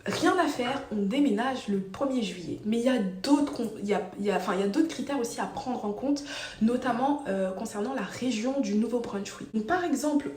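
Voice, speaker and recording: A woman's voice: on the high side, darkish tone. A woman talking casually to her channel, telling her story with lots of fillers and restarts. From Ivory Coast. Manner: chatty, explains with many fillers and restarts. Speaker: vlogger. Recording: echoey room, voice a bit far from the mic.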